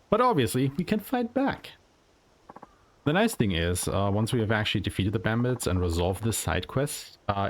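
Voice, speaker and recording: A man giving casual, explanatory commentary as he plays a video game live. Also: deep voice. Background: video game music and sounds.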